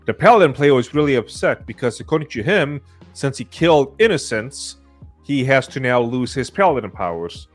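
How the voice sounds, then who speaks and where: A man's voice: mid-range voice, sing-song, normal speed, soft background music. A man in casual podcast conversation, talking like someone sharing a story with friends. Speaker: podcast participant. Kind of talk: casual anecdote and questioning.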